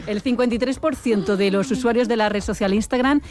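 A woman's voice: fairly high pitch, a little fast. A woman with a Castilian Spanish accent hosting an informal talk show. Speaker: host.

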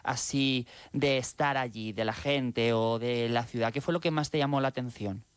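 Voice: medium pitch, fairly even intonation, normal speed, clean tone, no room sound. A co-host asking a guest questions with encouraging enthusiasm. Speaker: radio show co-host. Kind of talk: casual interview chat.

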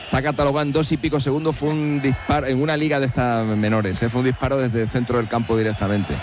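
A man's voice: medium pitch, level tone. A man with a Spanish accent from Spain talking sports as a radio pundit, repeating himself as he explains. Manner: halting, repeats phrases while explaining. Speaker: football pundit and statistician on a radio sports show. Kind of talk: unscripted studio sports talk. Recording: clear background noise.

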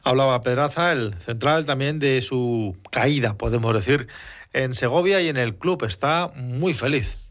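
A man's voice: mid-range voice, a little slow, a bit bright. Male sports radio presenter from Spain. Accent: Spanish from Spain. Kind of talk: sports news commentary linking interview clips.

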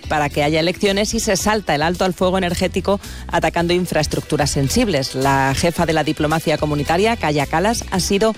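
A man anchoring a radio news bulletin, reading in a measured, formal way. His voice sits a little high for a man, and faint music plays under him.

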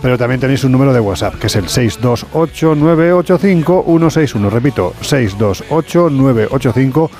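A man's voice: mid-range voice, lively intonation, a little fast, few pauses, darkish tone, faint music behind. A man hosting a late-night radio show, bantering playfully with his co-hosts. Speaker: radio host.

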